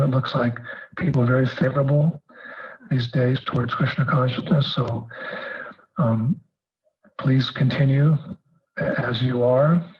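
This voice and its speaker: Man, husky voice, halting, lots of fillers, dull lo-fi sound. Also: mid-range voice. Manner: halting, frequent fillers and restarts. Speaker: guest speaker in a video message. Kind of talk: recorded video greeting.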